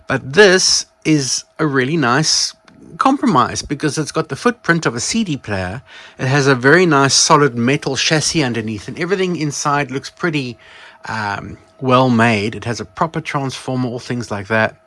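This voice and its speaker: A man's voice: mid-range voice, lively intonation, normal speed, fairly bright tone, no room sound. A man casually presenting a piece of vintage electronics, rambling informally and correcting himself as he goes. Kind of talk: casual product show-and-tell.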